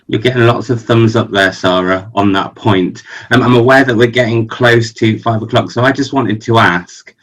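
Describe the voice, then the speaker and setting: A man moderating a webinar panel, polite and brisk, with the odd filler "um". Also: on the low side, fairly bright tone.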